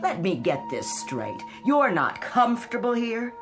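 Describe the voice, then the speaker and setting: A woman's voice: on the high side, huge pitch swings, unhurried, faint music behind. A woman playing a cold, ambitious wife in a radio drama, cutting and sarcastic with her husband. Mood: scornful. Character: cold, ambitious, scornful wife of a weary executive. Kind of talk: modern radio drama acting.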